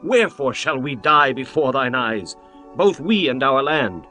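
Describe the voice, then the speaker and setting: A man's voice: medium pitch, big pitch swings, a little slow, sharp tone. A man voicing humble, pleading petitioners. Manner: pleading, deferential. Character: humble pleading shepherds and starving people. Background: faint music.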